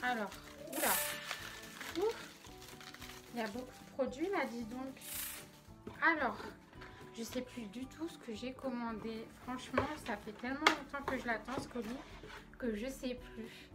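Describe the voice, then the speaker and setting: A woman's voice: fairly high pitch, unhurried, long pauses, loud music behind the voice. A young woman with a slight cold in her throat, chatting casually and giggling as she unboxes makeup. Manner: chatty, filler-heavy, giggly. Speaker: beauty vlogger.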